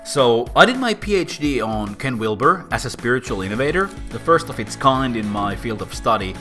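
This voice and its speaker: A man with a Finnish accent, explaining a theory casually to camera in a playful, animated way. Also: normal speed, faint music behind. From Finland. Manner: animated, swooping pitch, playful asides. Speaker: host and philosopher.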